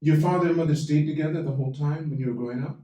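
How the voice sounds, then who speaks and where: A man hosting a podcast, pressing his guest with quick follow-up questions and cutting in often. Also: on the high side, dark tone. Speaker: podcast host. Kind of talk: probing interview. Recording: echoey room, distant mic.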